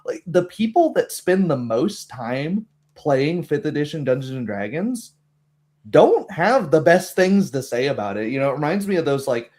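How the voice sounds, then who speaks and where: Animated man chatting about games. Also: fairly high pitch, moderate pace. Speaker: streamer, tabletop game host. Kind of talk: casual opinionated chat.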